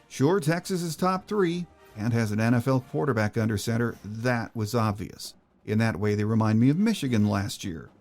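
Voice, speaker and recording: Male podcast host, mid-pitched voice, scripted narration, light music bed. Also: animated, moderate pace, darkish tone.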